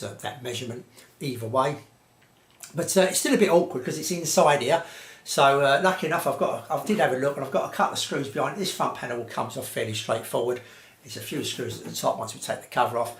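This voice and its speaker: A man with an English accent giving a casual, unscripted how-to in a chatty, rambling, colloquial way. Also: medium pitch. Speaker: presenter of hi-fi repair tips videos. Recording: roomy, echoey sound.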